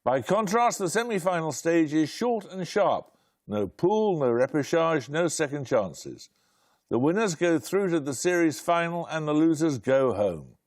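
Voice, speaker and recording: A man hosting a quiz show, reading out questions in a brisk, precise way with dry, wry humour. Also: a little high, very animated, no room sound.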